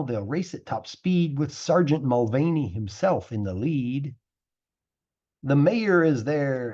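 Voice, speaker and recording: Animated male storybook reader, muffled recording. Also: mid-range voice, slightly rough. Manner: animated, theatrical pacing with drawn-out words. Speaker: English teacher reading a picture book aloud. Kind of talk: expressive rhyming storybook narration.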